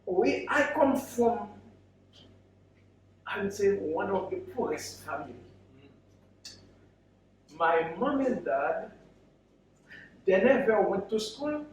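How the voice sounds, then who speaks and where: Male guest speaker, Haitian accent, high voice, unhurried and halting, telling his own story to a room. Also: lively intonation. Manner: halting, short broken phrases. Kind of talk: personal story told to an audience. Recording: very echoey, distant microphone, faint noise.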